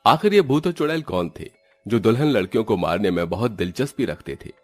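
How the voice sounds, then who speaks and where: A man's voice: fairly low pitch, lively intonation, a little fast, a little mellow. A man narrating a scripted cartoon horror story as the storyteller.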